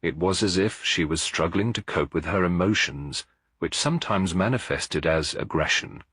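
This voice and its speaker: A man's voice: low-pitched, moderate pace, fairly bright tone, slightly rough, no room sound, studio quality. A man voicing a careful, reflective woman who works at a children's centre, in a storybook mystery.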